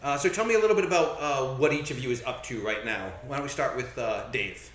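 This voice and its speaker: A man's voice: medium pitch, quick pace, fairly bright tone. A man narrating a live tabletop game as game master in a playful, easygoing way. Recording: roomy echo.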